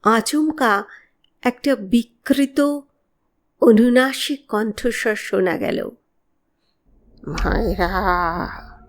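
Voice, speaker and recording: A woman narrating a horror story in a measured, expressive, dramatic way. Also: a little high. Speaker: audiobook narrator of horror stories.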